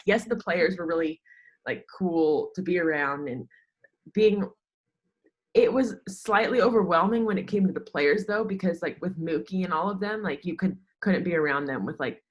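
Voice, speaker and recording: A woman's voice: on the low side, moderate pace. A woman in her twenties with an American accent, chatting casually as an interview guest and peppering her sentences with "like". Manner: informal, chatty, heavy use of "like". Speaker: podcast guest, sports social media worker.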